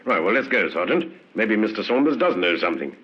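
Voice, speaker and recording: Male police inspector, polite but businesslike, expressive pitch, dull vintage radio drama sound. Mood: brisk, courteous. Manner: brisk, polite, questioning. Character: brisk, courteous Scotland Yard police inspector. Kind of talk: 1950s radio crime drama acting.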